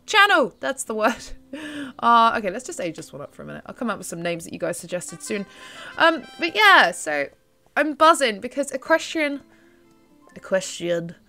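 A woman's voice: a little high, bright, forceful tone. A British woman in her twenties, just woken up, chatting casually and giggling as she plays a horse game. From United Kingdom. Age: 20s. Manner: chatty, giggly, gushing over cute foals. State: just woken up, voice lower than usual. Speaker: gaming YouTuber. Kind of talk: casual let's-play commentary.

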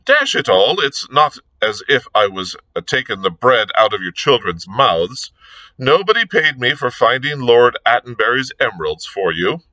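A man voicing a blithe, cheeky gentleman sleuth with a breezy, flippant, chatty manner. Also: medium pitch, very animated, moderate pace, no room sound, rough recording. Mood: playful, teasing.

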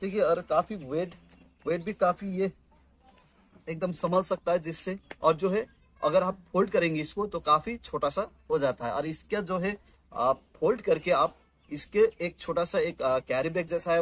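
A man's voice: a little high, normal speed, a bit bright. A man in his thirties, a vlogger chatting casually while he unboxes and shows camping gear, with many fillers and restarts. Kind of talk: casual product unboxing vlog. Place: indoors at home, later on an open rooftop outdoors.

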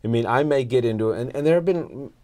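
A man's voice: medium pitch, fast, dark tone. A middle-aged American man answering as an interview guest in a conversational, earnest way, voicing bits of dialogue as he explains. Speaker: podcast guest, marketing consultant. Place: small indoor podcast studio. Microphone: lapel mic.

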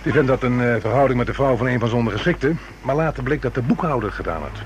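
Actor as fast-talking male police detective. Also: medium pitch, lively intonation, natural voice. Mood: matter-of-fact. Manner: matter-of-fact, colloquial. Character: brisk male police detective reporting on a case. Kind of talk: Dutch radio drama dialogue. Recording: noisy old radio broadcast recording.

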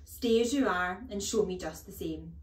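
In a roomy, echoing space, a huge bullying headmistress voiced by a woman reader speaks with loud contempt. She snaps and barks her orders, stretching words for menace, like a true storybook tyrant. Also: medium pitch, natural voice. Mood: sarcastic. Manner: scornful, snapping, barking, drawn-out emphatic words.

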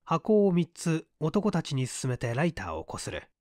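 A man's voice: fairly high pitch, sing-song, moderate pace, a little mellow, no room sound, studio quality. A man narrating mystery fiction from a script as an audiobook reader, in a dramatic manner.

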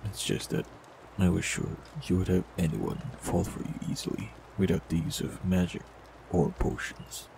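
A man performing a scripted fantasy audio roleplay, speaking calmly and gently with soft teasing, close to the mic. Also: sits low, normal speed, gravelly, noisy background. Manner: calm, gentle, softly teasing.

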